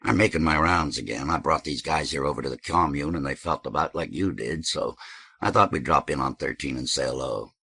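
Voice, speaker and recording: A man's voice: extremely low pitch, a little fast, gravelly, bright, forceful tone, husky, no echo, full character voice. A man voicing a sociable, cocky man who holds forth in plain colloquial statements, as dialogue in an audiobook. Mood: casual. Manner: colloquial, holding forth, declarative. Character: cocky, hunch-shouldered, sociable man in a cap.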